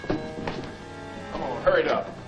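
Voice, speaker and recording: An actor playing a bullying gang henchman who barks gruff tough-guy slang in a 1940s film serial. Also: a little high, slow, over music. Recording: old 1940s film soundtrack.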